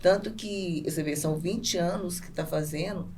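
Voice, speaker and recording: Brazilian woman talking over clear background noise. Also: fairly low pitch, normal speed, darkish tone. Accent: Brazilian Portuguese. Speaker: podcast guest, business owner. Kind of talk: conversational interview answers. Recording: clearly noisy background ambience.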